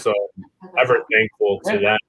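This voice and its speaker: A man's voice: fairly low pitch, fairly even intonation, normal speed, pushed and bright, a little hiss or hum. A man in casual podcast conversation, informal and given to teasing.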